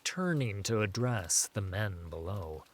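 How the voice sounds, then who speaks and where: A man with a fairly low voice reads a fantasy tale. His scripted narration is very slow and measured, set off by dramatic pauses. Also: expressive, dry recording.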